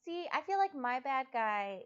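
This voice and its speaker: A woman's voice: high-pitched, big pitch swings, normal speed, a bit bright, clear, resonant tone. A woman co-hosting a livestream, chatting casually and playfully as she relays comments from viewers. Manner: playful, bantering. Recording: dull lo-fi livestream audio.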